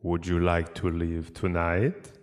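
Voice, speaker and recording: A man's voice: low voice, little pitch movement, a little mellow. A man playing a courteous, menacing aristocratic vampire in a gothic audio drama, speaking with cold deliberation. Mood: silky, menacing. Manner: slow, deliberate, coldly courteous. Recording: long cavernous reverb, music underneath.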